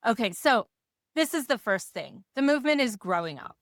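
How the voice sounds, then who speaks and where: A woman co-hosting a podcast in a casual, playful way, slightly hoarse and given to jokes and lists. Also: a little high, very animated, normal speed, a bit bright, dry recording, clean studio sound. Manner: playful, jokey, talks in lists. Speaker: podcast co-host. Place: Rochester area.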